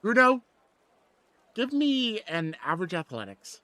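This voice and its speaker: A man's voice: sits high, very animated, measured pace, bright, forceful tone, no room sound. A man speaking informally as game master, narrating the scene and teasing the players in casual banter.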